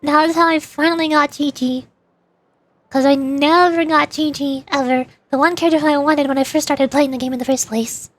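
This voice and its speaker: A woman's voice: high voice, fairly even intonation, fairly quick. A woman livestreamer chatting casually over a game in a rambling, playful way. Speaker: livestreamer, VTuber. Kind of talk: casual chat while gaming, answering live chat.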